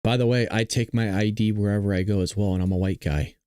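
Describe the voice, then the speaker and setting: A man's voice: lowish voice, fairly even intonation, quick pace, darkish tone, slight background noise. A man giving opinionated reaction commentary in a mocking, exasperated manner. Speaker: commentator reacting to video clips.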